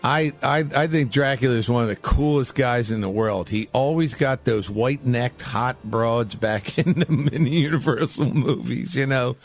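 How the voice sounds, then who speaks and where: A man's voice: medium pitch, normal speed, hardly any pauses, faint music behind. A man hosting a radio show, chatting casually in an informal way and often repeating his phrases. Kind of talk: casual radio interview chat.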